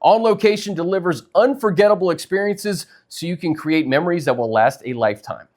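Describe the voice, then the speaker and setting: A man's voice: high-pitched, fairly quick, darkish tone. A man announcing an advertisement in a scripted commercial read.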